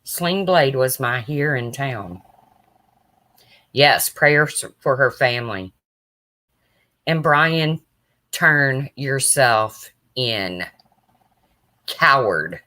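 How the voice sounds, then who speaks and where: A woman with a deep, raspy voice chatting casually on a live stream, answering viewers' comments by name as a true crime streamer. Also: expressive, extremely slow, long pauses, pushed and bright.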